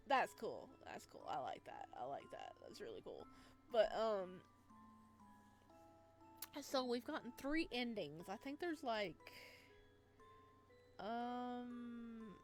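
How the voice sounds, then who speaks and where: A woman playing a horror visual novel, reading its narration aloud and breaking off into excited, laughing reactions. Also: sits high. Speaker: game streamer.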